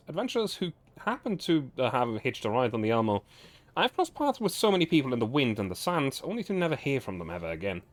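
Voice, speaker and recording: A man livestreaming as host, reading game story aloud with casual asides and stumbling now and then, his voice slightly raspy. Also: medium pitch. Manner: halting, often stumbles and corrects words while reading.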